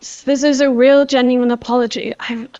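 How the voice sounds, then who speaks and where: A young woman playing an apologetic teenage girl in an amateur voiced game series, talking in a halting, earnest ramble. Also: sits high, little pitch movement, a little mellow, clean tone, no room sound, close to an everyday voice. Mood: guilty, pleading. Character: guilty, apologetic teenage girl, former best friend. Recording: dull lo-fi voice track.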